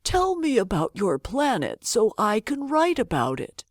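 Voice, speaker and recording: A woman voicing a dreamy old male geographer in a children's storybook, with drawn-out, breathy, wondering speech. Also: fairly high pitch, wildly swooping pitch, no echo, clean studio sound, full character voice. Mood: dreamy, absorbed. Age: older.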